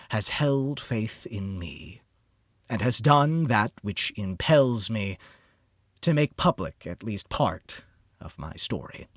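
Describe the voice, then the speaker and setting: Theatrical man narrating gothic horror, slow and forceful. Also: lowish voice, dry recording, studio quality. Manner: theatrical, solemn, deliberate first-person delivery. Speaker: audiobook narrator. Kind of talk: dramatic scripted narration of a gothic horror story.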